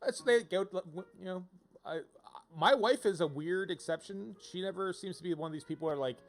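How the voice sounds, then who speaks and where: A man giving casual, rambling game-stream commentary in an animated, digressive way, repeating himself for emphasis. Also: high-pitched, brisk. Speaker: livestreamer playing a visual novel. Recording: game music behind the voice. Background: video game music.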